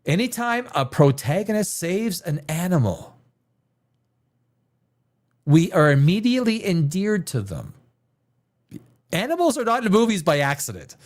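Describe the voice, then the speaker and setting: Opinionated man chatting casually. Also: on the high side. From Canada. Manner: opinionated, emphatic, repeats phrases for emphasis. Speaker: livestream host. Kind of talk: casual film chat, rambling commentary.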